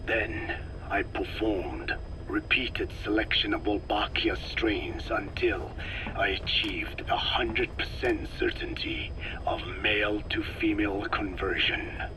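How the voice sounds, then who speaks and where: Old frail scientist in a video game, whispery low raspy voice, slow n precise, very grave. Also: male voice, low-quality recording. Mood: patient, explaining. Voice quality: breathy, whispering, weak rasp. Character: frail old male scientist explaining his work, measured and grave. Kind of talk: dramatic game voice acting, expository dialogue.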